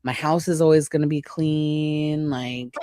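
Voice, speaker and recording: A woman's voice: low-pitched, steady tone, unhurried, dark tone, no echo, studio quality. A woman in her late thirties chatting casually as a podcast co-host, rambling and acting out imagined quotes. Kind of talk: casual personal chat.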